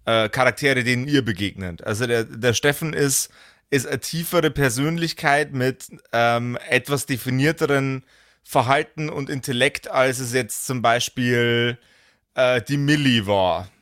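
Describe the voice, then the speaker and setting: A man's voice: mid-range voice, measured pace, fairly bright tone, no room sound, studio quality. A man talking casually and hesitantly as a podcast co-host, searching for words and using slangy language. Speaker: podcast co-host, usually game master. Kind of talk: casual chat looking back on a role-playing game.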